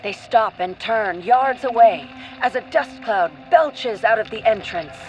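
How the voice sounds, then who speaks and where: A woman's voice: on the high side, expressive, a little slow, darkish tone, dull audio, a little hiss or hum. A woman narrating a scripted radio adventure play in a dramatic, descriptive storytelling manner. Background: music.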